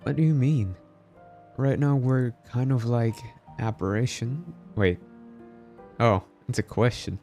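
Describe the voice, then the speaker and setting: A man's voice: medium pitch, slow, a little mellow. A man from the Philippines giving casual gameplay commentary as a streamer. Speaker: game streamer. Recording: faint game music behind.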